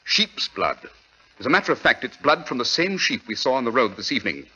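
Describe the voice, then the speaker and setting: Shrewd british detective, very forceful n slightly husky, swoopy delivery, old radio sound. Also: male voice, mid-range voice, big pitch swings, brisk, exaggerated voice. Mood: conclusive. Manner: crisp, commanding, deductive. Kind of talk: 1940s radio acting. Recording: dull old radio recording.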